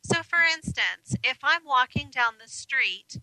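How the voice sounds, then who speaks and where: An American woman talks conversationally as a guest on a radio show. Her voice is strikingly bright and somewhat high, with a dry, close sound. She is friendly and reflective as she explains things. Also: lively intonation, measured pace, no room sound, rough recording. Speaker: radio guest, life coach. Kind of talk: conversational interview answers.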